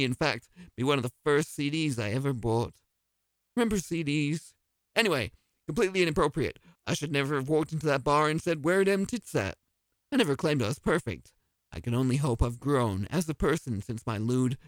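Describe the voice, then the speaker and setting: A man's voice: mid-range voice, no echo. A man hosting a comedy podcast, delivering a scripted, mock-earnest monologue that rambles and keeps questioning itself. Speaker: podcast host playing the DM.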